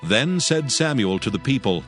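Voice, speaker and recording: A man's voice: medium pitch, very animated, moderate pace. A man narrating dramatized scripture from a script in a formal, solemn storytelling manner. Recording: music bed behind the voice.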